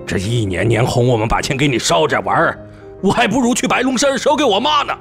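A man's voice: on the high side, expressive, fast talker, gravelly, a bit bright, soft background music. A man playing an indignant, sarcastic company shareholder in an audio drama, with a blunt, complaining manner. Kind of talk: Chinese audio drama acting.

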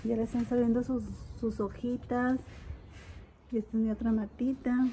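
A middle-aged woman, her voice a little high and mellow, talks homely and chatty as she shows her home. She films on a handheld phone while walking, and faint ambient noise sits behind her. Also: flat delivery, normal speed, poor audio. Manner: chatty, homely, addresses viewers directly. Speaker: vlogger giving a home tour. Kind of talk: casual home tour narration. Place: house and yard, indoors and outdoors. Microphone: phone camera held while walking.

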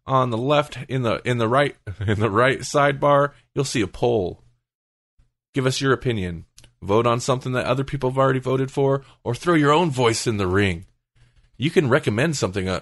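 A man's voice: medium pitch, gravelly, fairly bright tone, dry recording, studio quality. A man hosting a podcast in casual chat, with a joking, rambling manner. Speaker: podcast host.

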